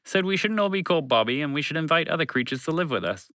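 A man's voice: fairly high pitch, lively intonation, fast, pushed and bright, no room sound, studio quality, pitched above the performer's own voice. A man voicing a condescending, argumentative alien creature in a children's story, unhelpful and easily offended. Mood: matter-of-fact. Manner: condescending, argumentative, casual phrasing.

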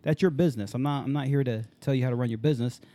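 A man's voice: mid-range voice, racing, dark tone. A man in his forties hosting a podcast in loose, casual chat, swearing freely. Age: 40s. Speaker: podcast host.

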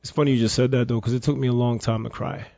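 A man's voice: medium pitch, little pitch movement, racing, vocal fry, dark tone, no echo, highs cut off. A Jamaican man guesting on a casual podcast, talking earnestly and from the heart.

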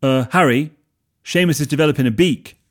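A man's voice: medium pitch, lively intonation, moderate pace, darkish tone, natural voice. A man doing an eager chatty young wizard.

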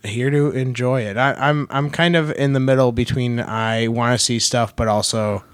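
A man co-hosting a casual podcast on a cruise, talking in a relaxed, hesitant, self-deprecating way. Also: mid-range voice, moderate pace, smooth, no room sound. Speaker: podcast co-host. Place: aboard a cruise ship.

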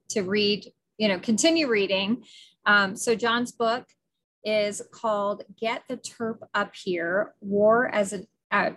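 A woman's voice: medium pitch, fairly bright tone. A middle-aged woman hosting a podcast interview, enthusiastic and talkative, with frequent fillers and restarts. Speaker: podcast host. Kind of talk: casual interview.

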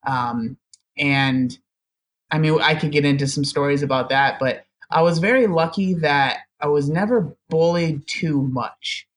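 A man's voice: on the high side, a little slow. A young man telling his story as a podcast guest, chatty and self-deprecating, often laughing. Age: young adult. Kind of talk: casual storytelling interview.

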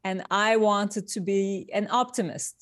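A woman from Turkey, a guest designer, speaking in a thoughtful, unhurried way in a casual interview over a remote call. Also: mid-range voice, fairly even intonation, no echo, studio quality.

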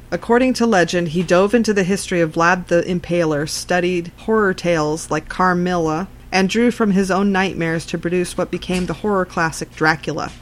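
A woman narrating a written article in a scripted reading style. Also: on the low side.

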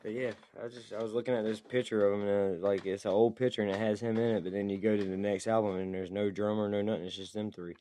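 A man's voice: fairly low pitch, little pitch movement, fast talker, few pauses, mellow, slight background noise. A man hosting a podcast in a casual chat, rambling and laid-back, swearing freely and laughing often. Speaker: podcast host.

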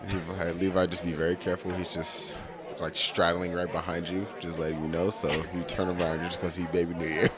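A man's voice: sits low, level tone, brisk, hardly any pauses, a crowd in the background. A man co-hosting a live podcast, making casual, teasing remarks in front of an audience.

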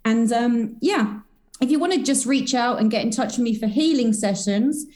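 A woman's voice: fairly high pitch, normal speed, a little mellow. A woman, a guest on a podcast, chatting in a bubbly, rambling way full of spiritual talk. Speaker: podcast guest, energy healer. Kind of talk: casual podcast chat.

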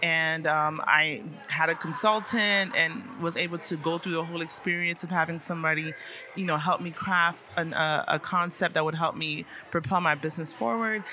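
A young woman answers interview questions in a very bright, forward voice. She is earnest and articulate in a conversational way, with faint location noise behind her. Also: low-pitched, fairly even intonation, normal speed. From South Florida, United States. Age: young adult. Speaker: interview guest, city commissioner and small business owner. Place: conference venue at a hotel.

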